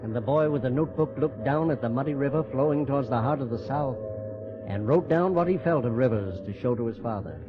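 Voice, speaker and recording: A man narrating a scripted 1940s radio drama in a measured, literary storytelling way. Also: mid-range voice. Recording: dull old-time radio recording. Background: music.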